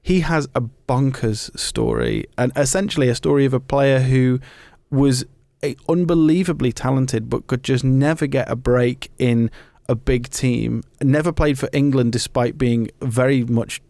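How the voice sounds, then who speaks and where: A man hosts a podcast with a noticeably creaky, gravelly edge to his voice. He talks informally and thoughtfully, working through his points in a conversational way. The sound is close and dry. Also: mid-range voice, unhurried, dark tone, no room sound. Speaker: podcast host.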